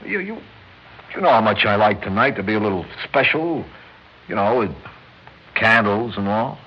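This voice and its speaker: An American actor playing a hard-luck, hot-headed but loving working husband in a radio drama, blurting and exasperated. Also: male voice, lowish voice, lively intonation, normal speed, breathy edge. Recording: muffled, noisy old radio drama recording.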